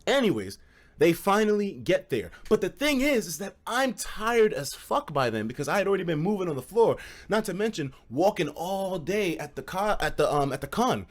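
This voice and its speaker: Young American male streamer, high voice, slangy casual storyteller who swears freely. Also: moderate pace. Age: young adult. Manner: animated, slangy and profane, acts out quoted dialogue. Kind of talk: casual storytelling to a live chat.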